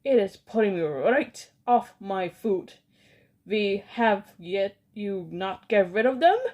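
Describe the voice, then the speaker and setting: A woman's voice: fairly low pitch, measured pace, lo-fi sound, natural voice. A woman reading a storybook aloud and voicing a furious, domineering female witch leader who shrieks orders in stilted, oddly worded speech. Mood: ranting.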